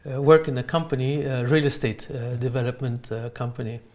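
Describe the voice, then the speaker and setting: A man with a French accent answering interview questions in halting, unscripted non-native English. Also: medium pitch, steady tone, darkish tone. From France. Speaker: interview guest, real estate company owner. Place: in his apartment.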